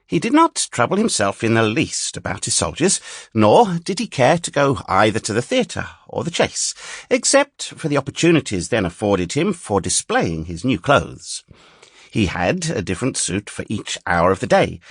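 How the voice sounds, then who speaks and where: A male audiobook narrator reads a fairy tale with theatrical expression. His medium-pitched voice is husky and swoops widely, in a dry, close-sounding recording. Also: no room sound.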